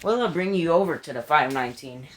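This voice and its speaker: A man's voice: fairly high pitch, animated, fast, a bit bright, poor audio. A man giving casual, opinionated commentary as a hobbyist ranking things, in an informal and slightly self-deprecating way. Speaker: hobbyist video creator.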